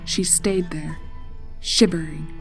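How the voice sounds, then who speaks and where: A woman reads a horror tale as its narrator. Her voice sits a little low, and her delivery is dramatic and deliberate, building suspense. Music plays faintly behind her. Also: steady tone. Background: background music.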